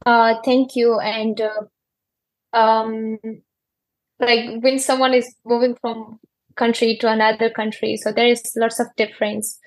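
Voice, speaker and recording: A young Afghan-accented woman with a fairly high voice gives informal interview answers. English is not her first language, so she moves haltingly and often searches for the right word. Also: level tone, low-quality recording. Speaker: interview guest, cricketer.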